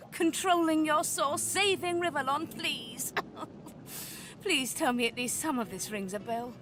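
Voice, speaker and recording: An actress playing a world-weary, sharp-tongued sorceress mentor in a fantasy video game, with a sardonic, impatient and dramatic manner. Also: female voice, high voice. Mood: exasperated, urgent. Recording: music behind the voice. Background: music.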